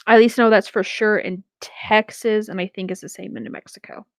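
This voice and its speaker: A woman's voice: medium pitch, fairly even intonation, brisk, gravelly, no room sound, clean studio sound. A woman co-hosting a story reading, chatting and reading aloud casually. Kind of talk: casual chat and read-aloud.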